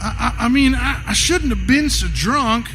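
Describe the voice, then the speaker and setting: An actor playing a guilt-ridden redneck drunkard, stammering and hesitant, in a live drama. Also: male voice, very high voice, animated, normal speed, a bit bright, a little hiss or hum. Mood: guilty. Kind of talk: live audio horror drama. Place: theatre stage, live performance.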